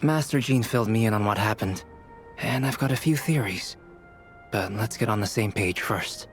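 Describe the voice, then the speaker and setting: Man acting a calm, analytical young alchemist. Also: lowish voice, soft background music, natural voice. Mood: composed, explanatory. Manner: measured, explanatory. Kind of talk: video game voice acting.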